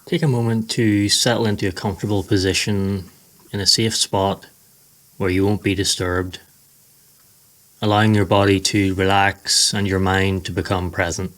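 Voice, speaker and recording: A man narrating a scripted guided meditation in a slow, soothing, measured way. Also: low-pitched, flat delivery, fairly bright tone.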